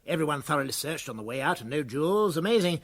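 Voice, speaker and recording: A man playing a well-spoken elderly English prison governor in a comic radio play, polite, understated and gently pompous. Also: fairly high pitch, expressive, quick pace. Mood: quietly triumphant.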